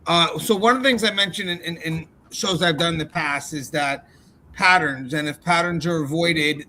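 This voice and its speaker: A man hosting a true crime interview, asking long, measured, multi-part questions. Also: fairly high pitch, sharp tone, slight background noise. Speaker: true crime podcast host.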